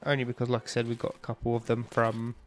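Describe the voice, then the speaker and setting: A man's voice: mid-range voice, monotone, quick pace, a little hiss or hum. A young man with a stuffy, bunged-up nose, chatting casually and rambling with lots of ums while he streams a game. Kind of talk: casual live-stream chat while playing.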